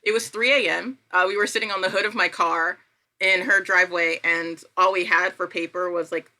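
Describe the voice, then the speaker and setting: A woman chatting casually as a podcast guest, telling self-deprecating stories and acting out her own thoughts in an animated way. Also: lowish voice, normal speed, poor audio. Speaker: podcast guest, writer and creator. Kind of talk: casual chat, anecdotal storytelling.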